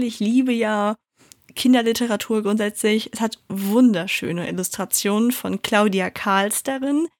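A woman's voice: mid-range voice, no room sound, clean studio sound. A young adult woman talking casually about books she loves and reading their blurbs aloud. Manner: chatty and enthusiastic, reads blurbs aloud more slowly. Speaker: book reviewer. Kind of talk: casual book recommendations to viewers.

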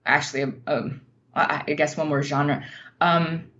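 Slow-talking American woman, slightly muffled. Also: low-pitched, steady tone, fairly bright tone. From Utah, United States. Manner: thoughtful, halting, searching for words. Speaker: podcast guest, nonfiction writer. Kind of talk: reflective interview conversation.

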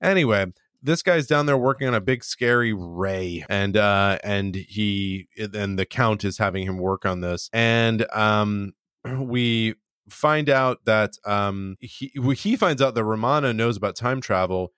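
A man's voice: lowish voice, a little slow, fairly bright tone, clear, resonant tone, no echo, clean studio sound. A man hosting a podcast, recapping an episode in a rambling, chuckling way. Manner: rambling, chuckling, filler-heavy. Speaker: podcast host.

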